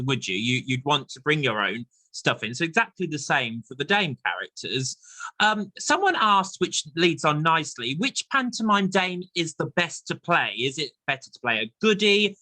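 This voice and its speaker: A man hosting a casual chat interview in a chatty, animated way. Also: fairly high pitch, dry recording, poor audio. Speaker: interviewer, chat show host.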